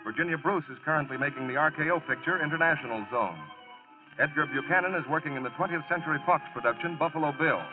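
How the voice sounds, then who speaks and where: A man's voice: a little high, quick pace. A man reading scripted announcements in a 1940s radio announcer style. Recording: vintage radio broadcast, narrow band. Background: music.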